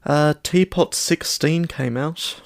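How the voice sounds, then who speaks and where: A man's voice: a little high, slow, vocal fry, dry recording, clean studio sound. A man co-hosting a podcast in casual chat, understated and hesitant as he rambles along. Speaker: podcast co-host.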